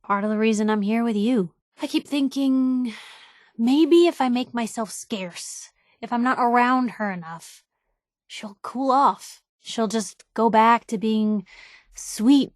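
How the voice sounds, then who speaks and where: Woman acting as young female game companion, feisty reporter, higher voice, sardonic, guarded yet loyal, emphatic, close dry sound. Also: dry recording, natural voice. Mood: earnest, then worried. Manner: sardonic, emphatic, hesitant when vulnerable. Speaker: video game companion character. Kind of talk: naturalistic game dialogue acting.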